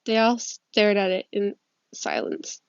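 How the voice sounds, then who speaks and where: A woman's voice: medium pitch, fairly even intonation, slow pace, clean tone. A woman reading fan fiction aloud in an informal, amateur way, recorded on a phone. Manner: informal, giggly when flubbing lines. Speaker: amateur podfic reader. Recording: muffled, roomy echo, phone recording.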